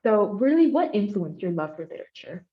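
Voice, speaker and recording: A woman's voice: mid-range voice, sing-song, brisk. A young woman interviewing a professor, asking friendly questions full of ums and likes. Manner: friendly, filler-heavy, with many ums and likes. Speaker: student interviewer. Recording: slightly dull lo-fi audio.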